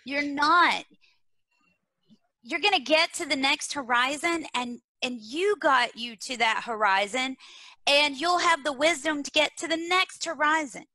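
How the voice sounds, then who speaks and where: A woman's voice: high-pitched, unhurried, sharp tone, no room sound, poor audio. A woman with a Texas accent, speaking earnestly as a guest on leadership and repeating her key phrases for emphasis. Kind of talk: conversational interview.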